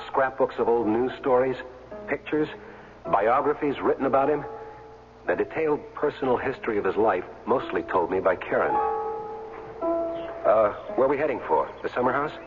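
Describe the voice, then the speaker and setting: An actor playing an uneasy, plain-spoken former athlete hired as a stand-in, informal and earnest, in a 1970s radio drama. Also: male voice, mid-range voice, measured pace, phone-quality sound, music in the background, lightly acted. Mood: weary narration, then gentle probing. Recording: thin, hissy old radio broadcast.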